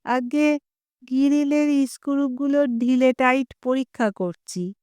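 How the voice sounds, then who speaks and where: A simple, fawning male workman, put on by a woman reading a story. He speaks humbly and a little higher than a plain voice, slow and unhurried. The sound is clean and dry, close to the mic. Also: a little mellow, clear, resonant tone, no room sound. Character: meek, simple-minded male mason, grovelling.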